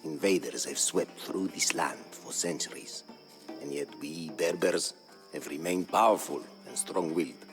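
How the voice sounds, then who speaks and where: An actor playing a proud, solemn Berber chronicler, narrating a video game campaign in a grave, measured, formal manner. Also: male voice, low voice. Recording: studio voice-over with music behind.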